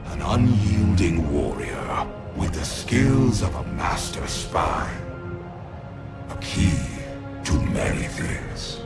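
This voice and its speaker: Grave undead warlord for a fantasy game, super deep, slow and commanding, loud music. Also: male voice, sing-song, creaky, full character voice. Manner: weighty, measured, commanding. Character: grave undead horned warlord, seasoned and resolute. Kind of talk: dramatic fantasy game cinematic.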